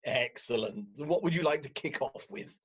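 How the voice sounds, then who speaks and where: An actor playing a measured, patient but firm counselling team leader in a comedic radio play, speaking formally. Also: mid-range voice, animated, husky. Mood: brisk, encouraging. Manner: formal, professional, admonishing. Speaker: team leader chairing a caseload meeting.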